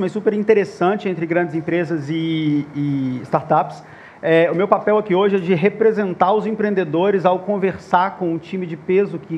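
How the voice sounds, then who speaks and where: High-voiced man moderating a panel. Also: dull audio, slight background noise. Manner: lively, uses many fillers and self-corrections. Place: conference stage.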